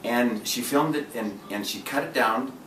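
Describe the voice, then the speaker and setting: A man's voice: mid-range voice, moderate pace, fairly bright tone. A man talking in a casual group chat as a dating coach, intense and direct, with lots of restarts. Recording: echoey room from a distance, faint noise.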